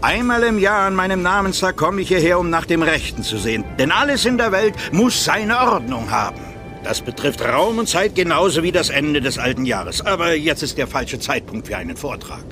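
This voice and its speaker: A man's voice: fairly high pitch, sing-song, moderate pace, over music. A man playing a fussy but kindly old holy man in a children's radio play. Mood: fussy, scolding. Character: fussy, kindly old male New Year saint.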